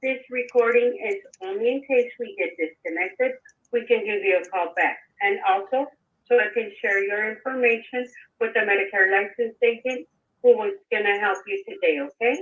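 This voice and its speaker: A woman's voice: mid-range voice, normal speed. A woman telemarketer reading a formal script on a phone call, pressing for answers. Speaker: telemarketing caller. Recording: muffled, distant phone recording.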